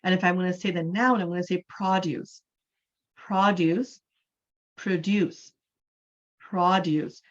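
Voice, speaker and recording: A patient woman in her forties from Canada, her voice sitting a little low as she teaches English. She stretches out and repeats key words to make her points. The sound comes through a dull, lo-fi video call. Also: fairly even intonation, takes it slowly, pauses often. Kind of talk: online class lesson.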